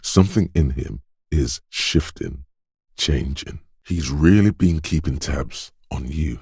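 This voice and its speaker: A man voicing a detective's quick-witted inner instinct in a video game, narrating in a measured, knowing, dramatic way. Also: very deep voice, animated, vocal fry, darkish tone, breathy edge, dry recording, studio quality, full character voice. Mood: tense, narrating. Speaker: voice actor. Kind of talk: second-person game narration.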